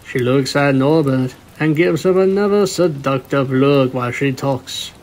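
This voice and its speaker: A man's voice: medium pitch, unhurried, a little mellow, low-quality recording. A man narrating a cartoon fan fiction romance, reading it out like a story. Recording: faint noise behind the voice.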